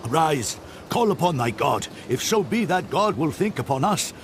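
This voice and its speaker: A man plays an alarmed, demanding ship's captain in a scripture drama. The voice is fairly high and urgent, with faint rumbling noise behind it. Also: sing-song, normal speed. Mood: urgent, scolding.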